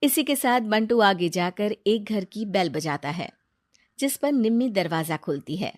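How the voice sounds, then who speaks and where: A woman narrating a scripted moral story in an even storytelling manner. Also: medium pitch, a little slow, no echo, studio quality.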